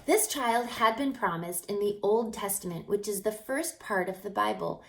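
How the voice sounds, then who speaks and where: A woman reading a children's story aloud with dramatic expression, stretching words and dropping into hushed passages. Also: medium pitch, moderate pace, creaky, slight background noise, low-quality recording. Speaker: storybook reader.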